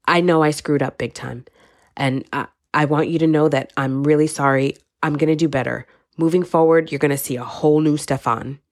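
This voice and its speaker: A woman's voice: deep voice, steady tone, normal speed, a little mellow, no room sound, clean studio sound. A woman reading an audiobook, voicing a spoiled young adult son who pleads and protests defensively. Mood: pleading.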